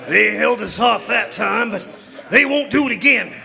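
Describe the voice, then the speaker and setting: Brief: a man doing a scheming outlaw chief, gravelly, high and lively, barking orders. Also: unhurried, music in the background, heavily acted. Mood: gloating. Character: ruthless male outlaw leader, gruff and scheming. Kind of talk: 1930s radio drama acting, live.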